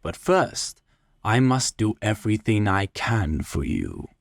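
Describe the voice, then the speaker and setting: A man plays a cold gentleman avenger in a gothic storybook. He speaks slowly and deliberately with a polished, false solicitude, in a dry, close sound. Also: fairly low pitch, expressive, dry recording. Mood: mock pleading. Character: cold, slyly polite avenger from an old family, feigning concern. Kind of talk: dramatic story reading.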